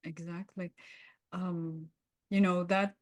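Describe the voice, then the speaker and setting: A woman who co-hosts as a coach, talking conversationally with hesitant, pausing phrasing. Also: on the low side, level tone, no room sound, studio quality. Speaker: podcast co-host and coach with clients.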